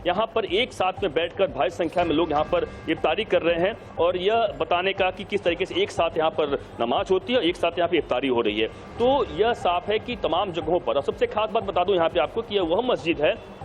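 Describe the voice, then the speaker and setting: A man's voice: high-pitched, expressive, a little fast, slight background noise. A man with an Indian accent reporting live from an outdoor city location in a wordy, repetitive running commentary. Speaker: field news reporter. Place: outdoors on a city street outside a mosque.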